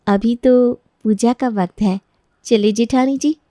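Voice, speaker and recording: A woman's voice: on the high side, steady tone, unhurried, dark tone, dry recording, clean studio sound, close to an everyday voice. A woman voicing a sly young bride in a family storybook drama, coy and mock-innocent. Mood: coy, teasing. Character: sweetly teasing young bride, sly younger sister-in-law. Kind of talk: melodramatic storybook acting.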